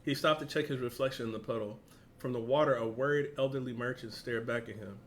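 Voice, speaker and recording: A man's voice: mid-range voice, steady tone, fast, fairly bright tone, a little hiss or hum. A man with a casual, slangy, informal way of talking, hosting a reaction video and reading along.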